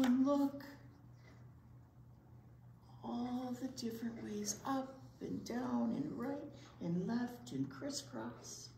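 An older woman reads a picture book to children with asides, expressive and often dropping to a hushed near-whisper. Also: medium pitch. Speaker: children's library storytime reader. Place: indoor children's library storytime corner. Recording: roomy echo, faint background noise.

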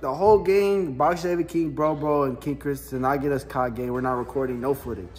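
A man's voice: mid-range voice, steady tone, fairly quick, darkish tone. A young man bantering casually in slang, teasing and often saying gang and man. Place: open-fronted metal garage workshop.

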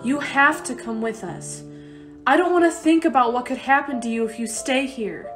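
A woman's voice: sits high, moderate pace, a bit bright, soft background music. A woman acting a determined, anxious young refugee mother in a radio play, speaking earnestly. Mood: worried.

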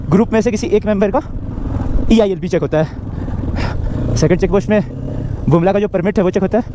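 A young man from India narrating a casual, excited motorbike travel vlog outdoors, recorded on an action camera with engine and wind noise. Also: sits high, a little fast. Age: young adult. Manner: chatty, excited, mixes in English words. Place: outdoors on a mountain road, riding a motorbike. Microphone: action camera on the bike.

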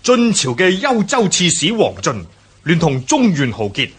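An actor playing an eager, dutiful warrior son reporting formally in a historical radio drama, with a Hong Kong Cantonese accent. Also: male voice, on the high side, sing-song, a little slow, a bit bright, slightly rough, exaggerated voice. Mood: proudly reporting.

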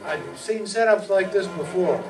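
A man's voice: high voice, normal speed, music in the background, close to an everyday voice. An older man acting a wry, hardboiled private detective in a stage radio play, narrating and questioning. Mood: dry, businesslike. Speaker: amateur radio play actor. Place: small hall stage, indoors. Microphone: stand microphone, recorded by far camera. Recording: noisy, distant hall PA recording.

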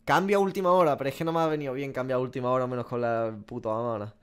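A man's voice: mid-range voice, racing, clear, resonant tone. A young man from Spain with a Spanish accent, reacting casually and swearing a lot while guessing game skins. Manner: swears often, animated, self-mocking. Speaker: gaming streamer. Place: small room indoors.

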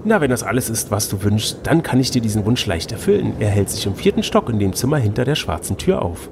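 A man's voice: on the low side, sing-song, fast, creaky. A man acting as game master, reading a fantasy gamebook aloud and bantering casually. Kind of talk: storybook narration mixed with casual banter. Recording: noticeable background noise.

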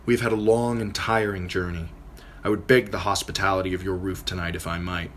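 A man voicing a sharp proud noblewoman. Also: low voice, steady tone, fairly quick, a little hiss or hum, close to an everyday voice. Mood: weary.

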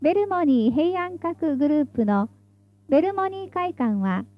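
A woman's voice: sits high, expressive, normal speed, no echo, dull audio. A woman reading scripted tram announcements in a formal, polite, carefully enunciated way, as the stop announcer.

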